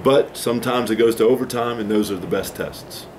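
A man in his forties, a head coach, giving measured, explanatory postgame answers at a press conference table. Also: fairly low pitch, noisy background. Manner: measured, explanatory, takes responsibility. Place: small press room with a backdrop, indoors.

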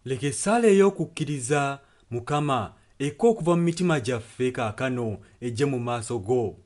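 Man interpreting a sermon, medium pitch. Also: expressive, moderate pace, darkish tone.

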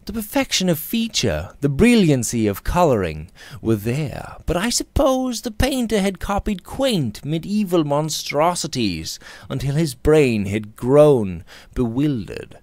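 Expressive man reading a Victorian novel, dry sound. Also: on the high side, no room sound. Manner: theatrical, measured, emphatic phrasing. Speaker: audiobook narrator. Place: small indoor room. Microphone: studio condenser microphone on a boom arm, close up.

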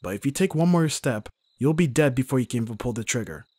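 A man playing a gruff, protective young bodyguard in an ASMR roleplay, with a husky voice and a sardonic, intimate delivery. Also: mid-range voice, lively intonation, fast, darkish tone, dry recording, lightly acted. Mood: urgent, commanding. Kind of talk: ASMR roleplay audio drama.

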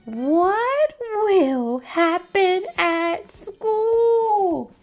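A woman performs a whiny young cartoon pigeon from a storybook, fretting dramatically. The voice is extremely high and drags words out very slowly, exclaiming theatrically. Music plays clearly behind it. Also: lively intonation, a little mellow, heavily acted. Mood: panicked, fretful. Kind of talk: children's storybook read-aloud.

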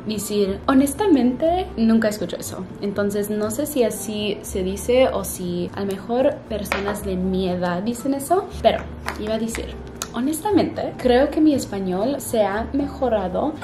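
A woman's voice: medium pitch, lively intonation. Chatty young woman in a roomy room. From Canada. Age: young adult. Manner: chatty, pauses to search for words and corrects herself. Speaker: vlogger. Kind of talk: casual get-ready-with-me chat to camera. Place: hotel room, indoors. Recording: roomy room with music underneath.

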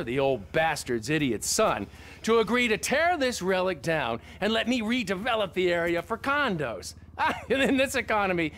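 Game villain: slick American businessman, gloating and self-satisfied, high male voice, animated swings. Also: slight background noise. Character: smug, gloating rich male investor villain. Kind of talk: video game cutscene acting.

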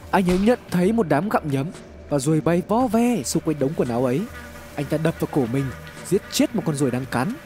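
A man's voice: high-pitched, a little mellow. A man reads scripted horror story narration in a dramatic, suspenseful storytelling manner. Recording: music behind the voice. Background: background music.

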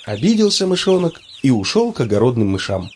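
A man's voice: medium pitch, very animated, brisk, darkish tone, a little hiss or hum. A man narrating a children's cartoon fairy tale in a scripted storytelling style.

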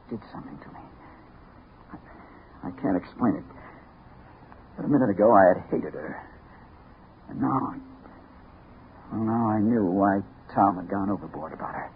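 A man acting in a 1950s radio mystery as a haunted, obsessed man telling his story in a halting, urgent, dramatic way. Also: on the low side, natural voice. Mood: haunted, brooding. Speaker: narrator and lead actor in a radio mystery. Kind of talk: 1950s radio acting, first-person narration. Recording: narrow, noisy 1950 radio recording.

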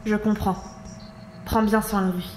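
A woman's voice: medium pitch, flat delivery, unhurried, a little mellow, slight background noise, natural voice. An actress playing an earnest, troubled mother who doubts herself, serious and hesitant, in an audio drama. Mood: calm, caring. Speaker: voice actor in a fan audio drama. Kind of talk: French audio drama acting. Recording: roomy sound with music behind.

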